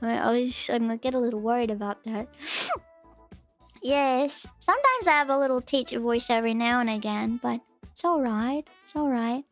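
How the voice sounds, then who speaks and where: A woman chatting casually on her live stream, with a cute, high voice, rambling and giggling through restarts and fillers. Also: a bit bright. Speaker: VTuber streamer. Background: faint background music.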